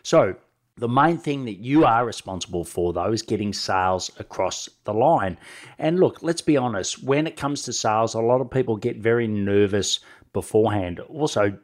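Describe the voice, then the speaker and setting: A man's voice: fairly low pitch, expressive, moderate pace. A middle-aged man with a head cold and a nasal voice, giving conversational advice as a solo podcast host, talking directly to the listener and often checking in with short tag questions. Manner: direct address with frequent tag questions like "right?". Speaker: podcast host and sales coach.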